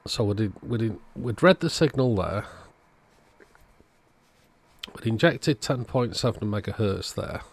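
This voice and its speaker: A man, host of an electronics repair video, calmly thinking aloud as he methodically works on a radio at his workbench. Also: lowish voice, a little slow, long pauses, husky. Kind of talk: casual narrated repair walkthrough.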